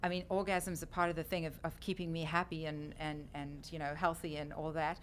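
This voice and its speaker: A South African woman in her fifties, a chatty and animated author telling her story as a guest on a talk radio show. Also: sits low, fairly quick, a little hiss or hum.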